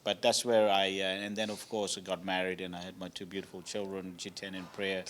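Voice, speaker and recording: Man from Zambia, hotel owner, low pitch, halting polite answers. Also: level tone, a bit bright, a little hiss or hum. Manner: hesitant, many ums, polite. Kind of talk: interview answers.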